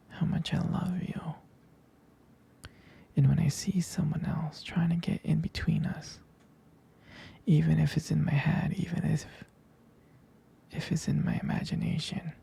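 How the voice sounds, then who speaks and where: A man playing a tender, protective boyfriend in an ASMR roleplay, murmuring hesitantly and intimately in a breathy, husky whisper. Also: a little high, dark tone, full character voice. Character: jealous, protective, tender boyfriend consoling his partner.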